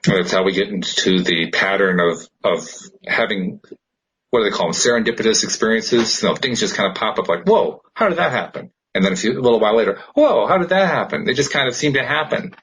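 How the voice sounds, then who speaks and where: Husky man with lively, swooping pitch. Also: fairly quick, low-quality recording. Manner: animated, encouraging, acts out imagined thoughts. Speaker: podcast co-host. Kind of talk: casual spiritual chat.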